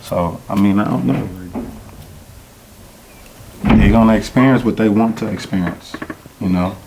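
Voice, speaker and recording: A man's voice: on the low side, unhurried, background noise. A man in his twenties, a podcast panel guest who thinks aloud and hesitates with fillers. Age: 20s. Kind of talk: casual panel discussion.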